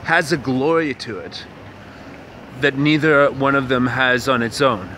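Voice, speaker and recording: Young male vlog host from Canada, mid-pitch, rambling street monologue, clear city noise behind. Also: steady tone, unhurried, bright, forceful tone. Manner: rambling, musing, many fillers and restarts. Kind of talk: casual walking vlog monologue.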